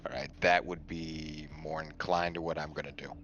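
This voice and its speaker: A man's voice: rumbling low, little pitch movement, normal speed, music in the background. A man chatting casually and informally as a player in a tabletop game, on a muffled lo-fi mic. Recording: muffled lo-fi mic with background noise.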